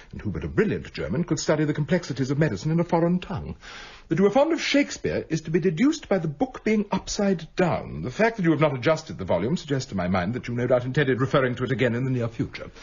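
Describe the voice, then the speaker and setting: A haughty detective speaks with a husky, breathy edge. His voice leaps up and down as he reels off one crisp deduction after another. It comes through a dull, lo-fi radio broadcast. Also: on the high side. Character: brilliant, imperious male detective. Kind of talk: BBC radio drama acting.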